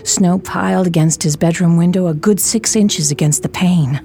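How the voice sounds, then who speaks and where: A woman giving scripted, measured narration for a suspenseful audio drama. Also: on the low side, gravelly, warm tone, a little hiss or hum.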